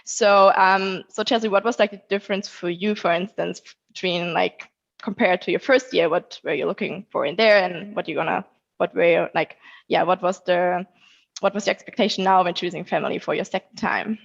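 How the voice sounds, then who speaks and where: A woman's voice: on the low side, fairly even intonation, a little fast, vocal fry, dull audio. A woman moderating an online panel, hesitant, with many filler words. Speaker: moderator, former au pair. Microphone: video call.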